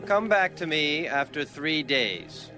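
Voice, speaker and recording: A man acts out a proud young king in a Bible audio drama. His voice is forceful and sharp, with measured, stern declamation. Soft music plays underneath. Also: on the high side, big pitch swings, heavily acted. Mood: questioning.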